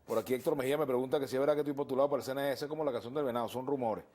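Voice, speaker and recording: A man hosting a TV talk show in a studio, moderating and reading out viewer messages in a brisk, conversational way. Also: medium pitch, steady tone, darkish tone. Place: television studio.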